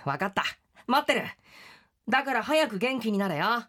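A man's voice: extremely high pitch, sing-song, moderate pace, bright, forceful tone, full character voice. An actor playing a friendly, brash boy rain spirit in a radio drama, with casual, boyish speech. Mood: tender, loyal.

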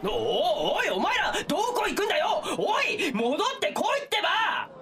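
A man's voice: very high voice, wildly swooping pitch, sharp tone, exaggerated voice. An exasperated young storybook hero acted by a man in a comic radio drama. His voice leaps up and down dramatically as he whines, pleads and protests, always earnest. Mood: desperate shouting. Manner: whiny, pleading, quick to protest. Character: exasperated, earnest young male folk-tale hero Momotaro.

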